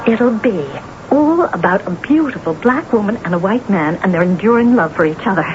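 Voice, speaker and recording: An actress playing a self-absorbed, temperamental, envious novelist in a theatrical, gushing old-time radio drama style. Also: female voice, medium pitch, lively intonation, a little fast, lightly acted. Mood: excited, dramatic. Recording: dull, noisy old radio broadcast.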